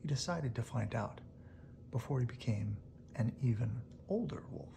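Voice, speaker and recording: A storyteller reading a picture book aloud in a hushed bedtime style, often dropping to a whisper. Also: mid-range voice, animated, normal speed, vocal fry, background noise.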